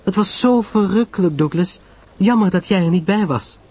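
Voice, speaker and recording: A man voicing a polite, gentle married woman in a mystery audio drama. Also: high-pitched, moderate pace, breathy edge, voice pushed up. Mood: sweet, smiling. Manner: gentle, courteous.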